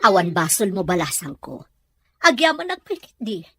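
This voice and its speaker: A woman's voice: medium pitch, big pitch swings, normal speed, pushed and bright, husky, dry recording, exaggerated voice. A woman acting a worried, scolding middle-aged mother in an Ilocano radio drama. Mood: grateful, urgent.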